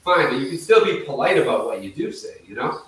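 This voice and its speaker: A man's voice: mid-range voice, very animated, a bit bright. A man hosts a live true crime show in a casual, apologetic way. His voice comes from far off the mic in a very echoey room. A faint noise sits under the sound. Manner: chatty, apologetic, reads tip numbers slowly. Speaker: host.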